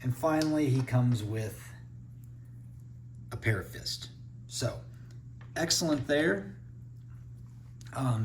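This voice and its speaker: A man's voice: medium pitch, a little hiss or hum. A man giving a casual unboxing review, thinking aloud as he handles the toys. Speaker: toy reviewer.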